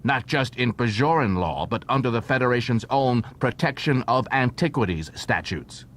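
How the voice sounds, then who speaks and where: A man's voice: expressive, moderate pace, fairly bright tone, lightly acted. Man acting stern, formal station constable. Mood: stern, probing. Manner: formal, clipped interrogation. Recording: noisy track with low rumble.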